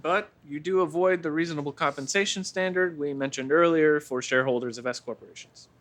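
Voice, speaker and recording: A man's voice: on the high side, brisk, fairly bright tone, lightly acted. A man playing a precise know-it-all bar regular who explains rules and reels off lists. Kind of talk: noir parody acting.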